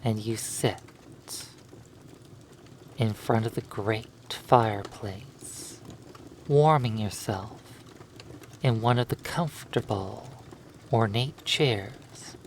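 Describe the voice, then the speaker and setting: A man narrating a hypnosis roleplay in a soothing, lulling manner, leaving long pauses between phrases. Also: mid-range voice, a little hiss or hum.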